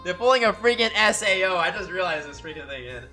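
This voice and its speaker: Male streamer, bright and very animated, casual slangy gushing to his chat, game music underneath. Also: sits high, fast talker. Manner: gushing, slangy, says bro and dude often. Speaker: live streamer reacting to game cutscenes. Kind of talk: casual livestream commentary, talking to chat. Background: game music behind the voice.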